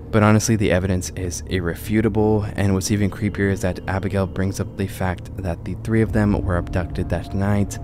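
A young man with a low voice narrates a scripted film recap. He is close on the microphone, in the manner of an online video host. Music plays clearly behind him. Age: 20s. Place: small home room set up as a studio. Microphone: large broadcast microphone close to the mouth. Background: music under the voice.

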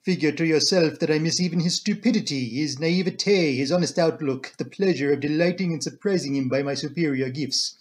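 A man voicing a fussy, solemn little foreign gentleman with a French accent and florid, formal speech. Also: a little high, brisk, hardly any pauses, close to an everyday voice. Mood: wistful.

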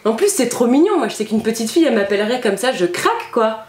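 An echoey, hissy recording of a young woman with a fairly high voice. She chats in an animated, playful way, like a vlogger at home in her kitchen. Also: quick pace. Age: young adult. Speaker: vlogger and mother. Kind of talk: casual chatty family prank video. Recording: echoey room, noticeable hiss.

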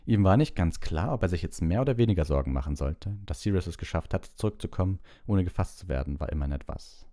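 A man's voice: low-pitched, animated, fast talker, dark tone, dry recording, clean studio sound. A man narrating a novel as an audiobook, reading from a script.

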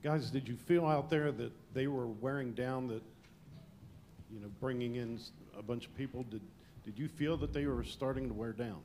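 A man's voice: medium pitch, normal speed, darkish tone, a little hiss or hum. A male sports reporter asking plain, direct questions at a press conference.

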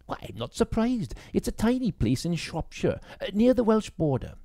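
Man performing young policewoman, high-pitched for the reader, polite, earnest, hesitant, audiobook character. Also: sing-song, normal speed, gravelly, dark tone, no room sound, clean studio sound, exaggerated voice.

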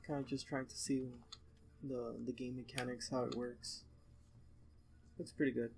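A man chatting casually over gameplay in a low-key, somewhat hesitant way, as a co-host watching his friend play. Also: flat delivery, moderate pace, vocal fry, a little mellow.

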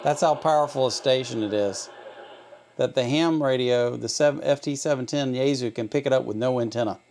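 A man's voice: mid-range voice, brisk, darkish tone. Male host, plain-spoken and casual, hands-on demo, some background noise. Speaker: host testing a radio receiver. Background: shortwave radio noise and stations.

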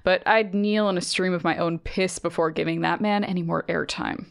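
A woman's voice: lowish voice, fairly even intonation, normal speed, vocal fry, clean tone, no echo, studio quality. A woman playing an irritable, sarcastic podcast presenter in an audio drama, sounding weary. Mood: irritated, sarcastic.

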